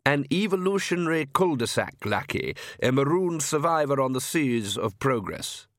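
A man's voice: medium pitch, expressive, moderate pace, a bit bright, no room sound, studio quality, pitched above the performer's own voice, natural voice. A man voicing an urbane vampire father, parental and pompous, placating with thinly hidden exasperation, in an audiobook. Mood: conciliatory. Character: urbane vampire father, patronizing, conciliatory head of family.

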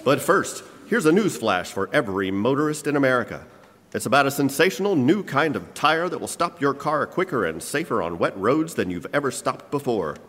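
A man's voice: mid-range voice, sing-song, a little fast, fairly bright tone. A man announcing and narrating in a scripted, old-time radio style. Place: church hall, live performance. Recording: reverberant hall stage recording.

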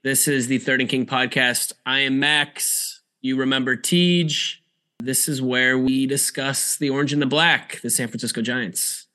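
An American man with a slightly hoarse, tired voice, hosting a podcast and chatting casually about sports. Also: mid-range voice, fairly even intonation, measured pace, pushed and bright. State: a little tired and hoarse from cheering. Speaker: podcast host.

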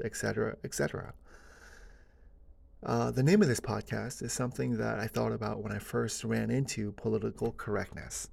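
A man's voice: mid-range voice, vocal fry, a little mellow, husky. A man hosting his own podcast, talking alone in a casual, earnest way with plenty of "uh" and "you know" fillers. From United States (born in Korea). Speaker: podcast host. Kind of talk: solo podcast monologue.

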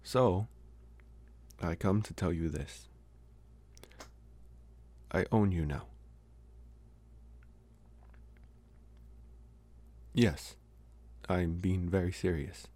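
Man playing a very deep, halting young vampire. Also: a little mellow, no room sound. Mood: possessive, serious. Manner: halting, hesitant, trying to sound intimidating. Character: socially awkward young male college vampire, possessive yet earnest. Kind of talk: ASMR roleplay, intimate monologue to the listener.

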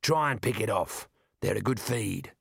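Gruff, hard-bitten driver played by a male narrator, brash and blunt, dry studio audiobook delivery. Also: lowish voice, lively intonation, moderate pace, a bit bright, no room sound, heavily acted. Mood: urgent shouting. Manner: blunt, slangy, matter-of-fact.

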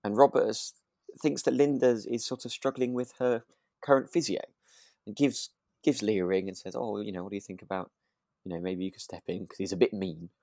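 A man co-hosting a relaxed podcast, agreeable and a little rambling and hesitant as he talks. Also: fairly low pitch, brisk, dry recording, studio quality. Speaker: podcast co-host. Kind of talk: relaxed casual discussion of a radio drama.

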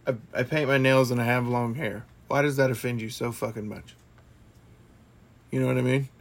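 A man co-hosting a casual discussion podcast, blunt and animated, swearing freely. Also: mid-range voice, moderate pace, gravelly. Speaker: podcast co-host.

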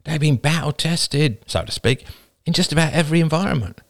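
A man's voice: fairly high pitch, brisk, dry recording, clean studio sound, pitched above the performer's own voice, natural voice. A man voicing a weary, resigned clan leader with a grave, sighing manner in an audiobook story. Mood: weary, measured.

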